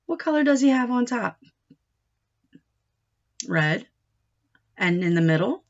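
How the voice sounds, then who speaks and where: A woman with a fairly high voice teaches young children through a picture book. She speaks slowly in short, instructive phrases and puts expression into the reading. The recording sounds slightly dull and lo-fi. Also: very animated. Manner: instructive, asking questions and answering them. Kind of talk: read-aloud lesson for young children.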